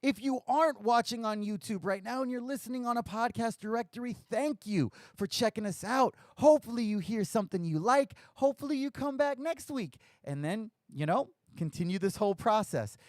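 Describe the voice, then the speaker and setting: A man's voice: extremely high pitch, animated, a little fast, hardly any pauses, a little mellow, no echo, studio quality. A man hosting a recovery podcast on a live stream, talking casually and rambling a little, his voice slightly scratchy from lack of sleep. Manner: rambling, chatty, self-deprecating jokes. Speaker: podcast host.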